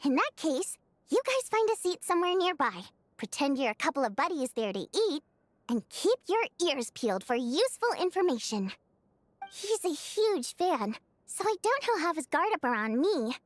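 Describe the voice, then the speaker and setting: An actress voicing an upbeat young woman who leads an underground group in a video game, speaking briskly and confidently as she lays out a plan. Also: sits high, sing-song, no room sound. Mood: scheming. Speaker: game character. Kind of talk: voiced video game dialogue.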